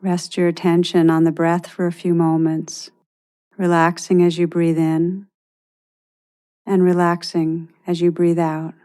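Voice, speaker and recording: A woman guides a meditation with a mellow, relaxed tone. She speaks very slowly and gently, leaving long pauses. Her delivery stays even and calm in pitch.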